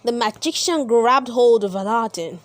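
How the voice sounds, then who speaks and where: A woman reading a children's story aloud as host, with deliberate, dramatic emphasis. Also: on the high side, expressive. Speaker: storybook reader and channel host. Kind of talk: expressive children's read-aloud narration.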